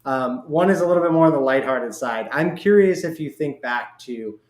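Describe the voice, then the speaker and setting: A man's voice: mid-range voice, lively intonation, moderate pace. A man hosting a casual podcast interview, speaking informally and circling around his questions in a rambling way. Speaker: podcast host. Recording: echoey, roomy sound.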